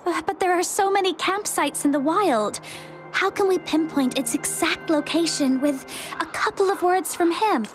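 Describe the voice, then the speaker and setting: A woman playing a worried, gentle young girl in a video game, speaking earnestly. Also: very high-pitched, moderate pace, soft background music. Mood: doubtful.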